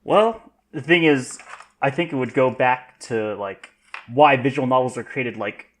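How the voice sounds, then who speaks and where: A man chatting casually as a podcast panelist, rambling and halting as he repeats himself. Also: medium pitch. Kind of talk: casual chat.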